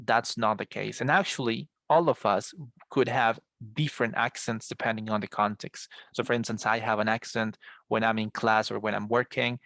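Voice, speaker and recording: A man's voice: fairly low pitch, steady tone, moderate pace, vocal fry, fairly bright tone, no echo. A man from Colombia with a Colombian accent and Spanish as his first language, explaining things conversationally as an English teacher and checking that students follow. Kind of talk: explanatory online class lecture.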